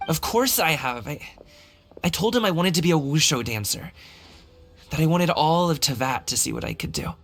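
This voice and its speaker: A man's voice: fairly high pitch, lively intonation, normal speed, fairly bright tone. A man voicing a friendly, earnest young male dancer in a video game, speaking in an easygoing, casual way. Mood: earnest, wistful. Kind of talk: video game character acting. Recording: faint game music behind the voice. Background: faint game music.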